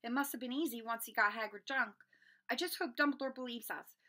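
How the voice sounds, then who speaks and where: A woman's voice: on the high side, fairly quick, pushed and bright, pitched above the performer's own voice. A woman playing an anxious schoolboy wizard in a storybook, speaking with insistent, earnest urgency. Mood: urgent.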